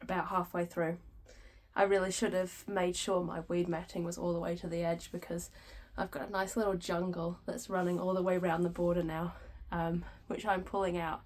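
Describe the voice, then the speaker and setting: A woman's voice: on the low side, little pitch movement, moderate pace, darkish tone, soft background music. A woman in her thirties chatting casually in a gardening vlog, stuffy-nosed and nasal from a cold, rambling with lots of ums. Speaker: gardening vlogger. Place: backyard greenhouse.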